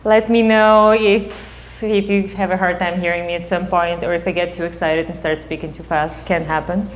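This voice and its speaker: A woman in her forties with a Bulgarian accent, a psychotherapist giving a casual public talk, animated and laughing often. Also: fairly low pitch. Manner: animated, laughs often, quick bursts of excitement.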